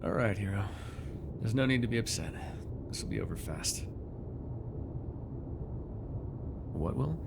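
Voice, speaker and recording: Man acting a low, gravelly, sardonic ex-hero villain. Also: lively intonation, noisy background. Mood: coldly coaxing, commanding. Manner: sardonic, deliberate, addressing a captive. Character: bitter telepathic ex-hero turned villain, sardonic, coldly commanding, weary. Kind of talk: ASMR superhero roleplay acting.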